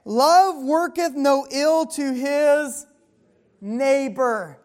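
Female preacher, high pitch, call-and-response sermon, slow scripture reading. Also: darkish tone.

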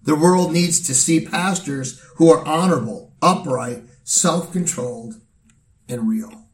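A man preaching a sermon as pastor, his voice hoarse and gravelly, speaking in an earnest, measured way with pauses. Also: on the high side. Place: church sanctuary. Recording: roomy sound from a distant mic.

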